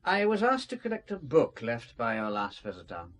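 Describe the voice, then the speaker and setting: Shady driver in a radio spy play, polite but guarded, voice jumps up and down. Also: male voice, medium pitch, wildly swooping pitch, moderate pace. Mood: evasive. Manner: courteous, guarded. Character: polite, shady, evasive driver, faintly sinister. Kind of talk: radio drama acting.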